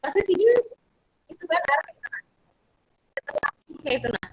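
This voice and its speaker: A young woman with a Banjar accent joins a classroom discussion with a high voice. She talks casually and hesitantly, mixing local words into her explanation. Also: expressive, unhurried, reverberant, rough recording. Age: young adult. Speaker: student presenter.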